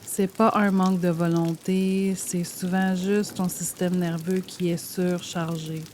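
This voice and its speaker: A woman guiding a yoga nidra meditation in a gentle, soothing, measured way, leaving pauses between phrases. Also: lowish voice, slight background noise. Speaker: meditation guide. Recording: steady rain sound over the voice. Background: rain sound.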